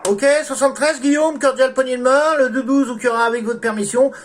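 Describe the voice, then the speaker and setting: A man's voice: extremely high pitch, brisk, slight background noise. A man from France chatting casually as an amateur radio operator, with courteous sign-offs. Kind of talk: casual radio contact chat.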